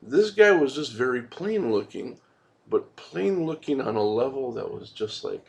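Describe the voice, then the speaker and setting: A man's voice: mid-range voice, animated, unhurried, vocal fry. A man hosting a movie review vlog, rambling casually in an informal, laid-back way with some swearing.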